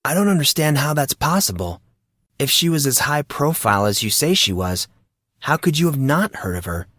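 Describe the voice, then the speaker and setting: A man plays an earnest high school boy of fourteen. The voice sits higher and has a probing, slightly hesitant way of asking things, as in an audiobook drama. The sound is close and dry. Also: sing-song, normal speed, fairly bright tone, no echo. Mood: puzzled.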